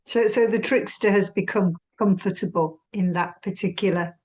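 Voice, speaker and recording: A woman of average pitch speaks from among the students in a meditation class. She asks unscripted, conversational questions of the teacher. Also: moderate pace, a little mellow.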